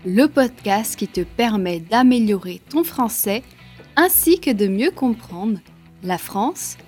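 A woman reads a scripted podcast welcome in a slow, unhurried delivery. Her pitch sits in the middle, and soft music plays faintly behind her. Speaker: podcast host.

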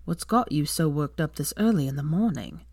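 Gruff young wizard, as a woman reads him, lowered and blunt, short temper. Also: expressive, a little fast, a little mellow, dry recording, studio quality. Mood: puzzled.

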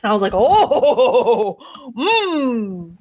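A woman's voice: on the high side, very animated, drawn-out words, darkish tone. A woman co-hosting a podcast, curious and asking probing follow-up questions in a casual way. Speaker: podcast co-host.

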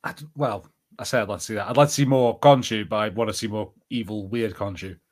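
A man's voice: lowish voice, steady tone, quick pace. A man co-hosting a podcast in casual banter, speaking in an easygoing, agreeable way. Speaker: podcast co-host.

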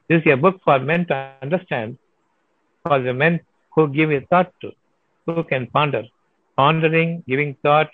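A man's voice: mid-range voice, no echo. A man answering audience questions about prayer, emphatic and given to repeating himself and asking rhetorical questions. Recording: tinny phone-like dry sound.

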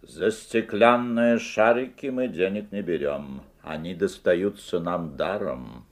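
A man's voice: sits low, takes it slowly. An actor playing an uncanny, teasing magic-shop salesman in a radio play, with a courteous, ingratiating, theatrical delivery. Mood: sly, matter-of-fact.

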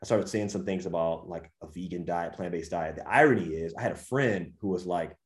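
A man in his twenties with an American accent, a vegan coach telling his story as an interview guest in a lively, slangy way. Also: sits low, brisk, roomy sound. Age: 20s. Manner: animated storyteller, slangy, says dude and bro. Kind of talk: casual podcast interview.

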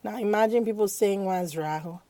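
A woman's voice: medium pitch, warm tone, dry recording. A woman co-hosting a podcast in playful, chatty conversation. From Nigeria. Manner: chatty, playful, quick to laugh. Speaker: podcast co-host. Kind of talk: casual podcast chat.

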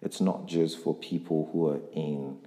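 A man's voice: rumbling low, steady tone, a little slow, mellow. A man interviewing a guest on a podcast, speaking reflectively and motivationally, with frequent restarts. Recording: echoey room with long reverb.

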